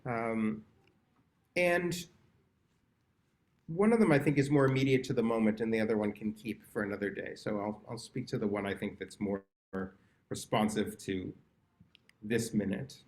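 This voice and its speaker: A man leading a prayer service, giving a reflective, hesitant sermon full of pauses and restarts. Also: fairly low pitch, a little mellow. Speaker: service leader.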